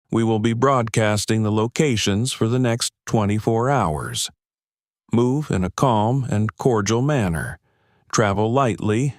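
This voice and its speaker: A man plays an American major general in an audiobook drama. The voice is fairly low and dry, with formal, deliberate, orderly delivery of an official briefing. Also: a little mellow, no room sound, lightly acted. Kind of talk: audiobook acting, military briefing.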